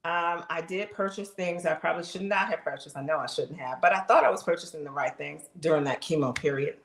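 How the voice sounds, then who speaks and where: A middle-aged woman with a fairly low voice speaks straight to her viewers on a live stream, animated and chatty. The sound rings off the walls of an echoey room. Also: brisk. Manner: animated, talks straight to the audience, exclaims, laughs. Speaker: live streamer sharing her health journey. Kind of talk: casual testimony to viewers.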